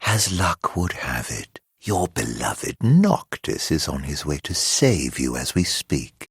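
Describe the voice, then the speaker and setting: An actor playing a mocking, theatrical immortal villain in a video game, drawling mock-polite taunts in a husky, gravelly voice. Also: male voice, fairly low pitch, no room sound, clean studio sound, full character voice. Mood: sinister, gloating.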